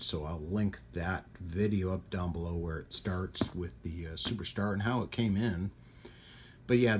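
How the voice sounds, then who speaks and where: A man with a fairly low voice hosts a casual tech repair video. He rambles and hesitates, talking to himself as he works. Some background noise sits around his voice. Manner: rambling, hesitant, talks to himself while working. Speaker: host of a radio repair video. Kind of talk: casual hands-on tech vlog, thinking aloud.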